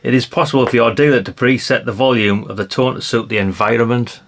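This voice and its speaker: A man's voice: medium pitch, fast, gravelly, a little hiss or hum. Casual man, offhand and chatty. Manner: chatty, offhand, matter-of-fact, tags remarks with questions. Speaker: vlogger reviewing vintage radios. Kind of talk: casual hands-on product demonstration.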